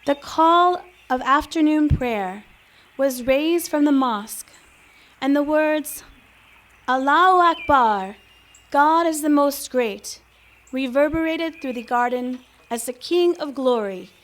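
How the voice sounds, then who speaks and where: A woman giving solemn, measured dramatic narration from a script in a reverent manner. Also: sits high, lively intonation.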